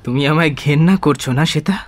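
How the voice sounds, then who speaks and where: A man's voice: on the high side, a little fast, natural voice. A man acting a bitter, demanding, suspicious sick husband in a radio drama, querulous and accusing. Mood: wounded, suspicious.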